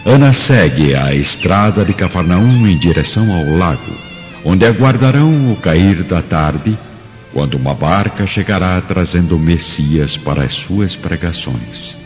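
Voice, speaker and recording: A man's voice: deep voice, expressive, a little slow. A man with a Brazilian accent giving solemn, formal dramatic narration for a radio play. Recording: dull lo-fi, long reverb. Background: background music.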